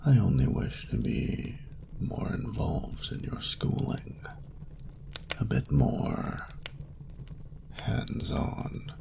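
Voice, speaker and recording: An ancient, regal dragon murmurs in an extremely deep, heavily creaking voice for an intimate romance roleplay. His delivery is slow, swooping and breathy, with courtly, doting and seductive phrasing. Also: huge pitch swings, noisy background, full character voice. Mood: teasing, fond. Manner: slow, formal, archaic, tender phrasing.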